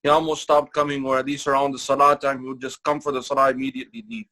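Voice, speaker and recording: A man giving a Ramadan lecture in a mosque, speaking in measured, repeated phrases and reciting Quranic Arabic between his English explanations. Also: medium pitch, little pitch movement, sharp tone, poor audio. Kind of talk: sermon-style religious lecture.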